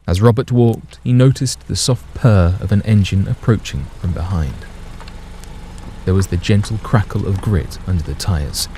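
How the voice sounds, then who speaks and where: Low, mellow male story narrator. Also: slight background noise. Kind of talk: scripted dramatic story narration.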